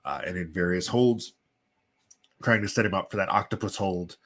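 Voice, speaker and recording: A man with a congested, sniffly voice from a chest cold, hosting and giving casual, halting play-by-play commentary on simulated wrestling. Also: deep voice, a bit bright. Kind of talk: casual live play-by-play commentary with chatty asides.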